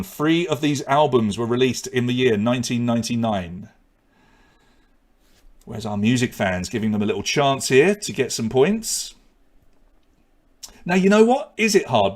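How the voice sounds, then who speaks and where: A man hosting a live online pub quiz. He reads out questions and scores and drops in joking asides. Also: mid-range voice. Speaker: quiz host.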